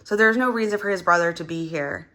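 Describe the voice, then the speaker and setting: A young woman chatting casually as she counts cash for her budget, her voice a little gravelly and hoarse from just waking up, rambling with lots of fillers. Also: fairly low pitch, fast talker, a bit bright. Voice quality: gravelly early-morning voice. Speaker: budgeting vlogger.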